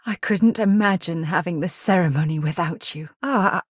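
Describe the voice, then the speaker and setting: A woman's voice: on the low side, slow pace, slightly rough, no echo. An actress with a British accent voicing a poised, earnest woman space explorer and commander in a video game, speaking articulately with dry wit. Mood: tender, moved.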